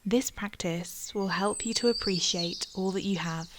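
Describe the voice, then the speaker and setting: A woman guiding a gratitude meditation in a slow, soft, soothing voice that drops to a breathy near-whisper at times. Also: mid-range voice, noisy background. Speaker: meditation guide. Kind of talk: guided meditation.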